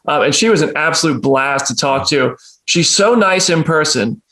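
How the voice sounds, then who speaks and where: A man with a slightly high voice hosts a casual entertainment interview. He is enthusiastic and conversational, letting his questions ramble. The room adds a clear echo. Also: expressive, moderate pace, pushed and bright. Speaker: interviewer, entertainment show host. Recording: roomy, echoey sound.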